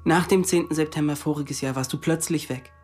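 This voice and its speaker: A man's voice: on the high side, steady tone, a little fast, a little mellow, soft background music, close to an everyday voice. An actor playing an insistent, calculating young man who questions a woman and narrates in a radio drama. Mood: probing.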